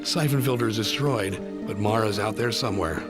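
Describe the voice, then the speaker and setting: A man's voice: lowish voice, brisk, loud music behind the voice, close to an everyday voice. An actor playing a resolute, earnest male operative in a video game, speaking in firm, terse phrases. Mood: determined. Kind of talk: video game cutscene acting.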